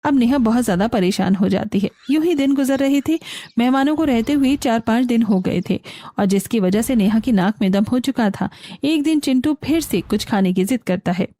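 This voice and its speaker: Mellow, high-voiced woman narrator. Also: lively intonation, moderate pace, dry recording. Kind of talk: scripted story narration.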